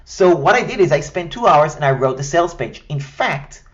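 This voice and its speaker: A man's voice: a little high, expressive, normal speed, dull audio. Israeli-accented man teaching step by step. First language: Hebrew. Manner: structured, step-by-step, direct. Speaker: online course coach. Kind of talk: scripted tutorial.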